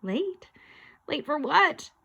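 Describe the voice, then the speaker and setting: A woman's voice: high-pitched, sing-song, extremely slow, creaky, sharp tone, muffled recording, pitched above the performer's own voice, heavily acted. A woman voicing a frightened, defiant young schoolboy in a children's audiobook, whiny and melodramatic, with quivering, exclamatory speech. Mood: anxious.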